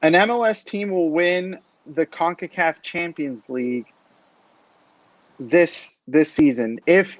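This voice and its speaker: A man's voice: on the high side, takes it slowly, no room sound. A man hosting a casual live sports interview as a podcast host, on slightly dull lo-fi audio.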